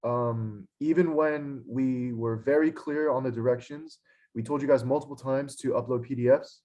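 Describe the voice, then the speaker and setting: Hoarse young man scolding his class. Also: mid-range voice, fairly even intonation, moderate pace, mellow. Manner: scolding, frustrated, many fillers. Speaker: instructor. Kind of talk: online lecture. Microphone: computer mic on a video call.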